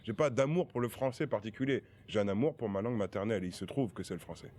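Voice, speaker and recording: A man's voice: on the low side, expressive, fast talker, darkish tone. Passionate man talking in an interview. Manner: passionate, emphatic.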